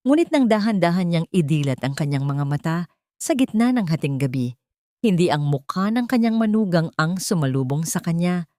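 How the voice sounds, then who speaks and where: A woman narrates a scripted audio drama in a fairly low voice. The sound is very dry, with hardly any room to it. She delivers it as storytelling prose. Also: sing-song, normal speed, warm tone, no echo.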